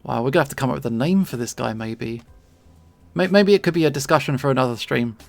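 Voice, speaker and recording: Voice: mid-range voice, expressive, fast, dry recording, soft background music. A streamer with a southeast English accent, chatting casually and playfully while drawing, pronouncing words with care. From southern England. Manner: clearly enunciated, pronounces T's; playful, chuckles often.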